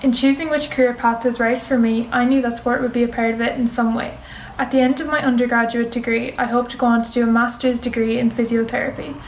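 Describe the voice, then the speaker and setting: A woman's voice: on the high side, little pitch movement, fast talker, reverberant, far from the mic, a little hiss or hum, poor audio. A nineteen-year-old Irish woman giving a formal, prepared self-introduction as a pageant contestant. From Ireland. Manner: formal, reads a prepared speech.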